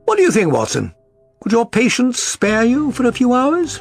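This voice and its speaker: A man voicing a brisk, self-assured gentleman detective in an audiobook, precise, probing and authoritative. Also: very high-pitched, wildly swooping pitch, creaky, soft background music, voice pushed up, exaggerated voice. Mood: assured, decisive. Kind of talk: audiobook character reading.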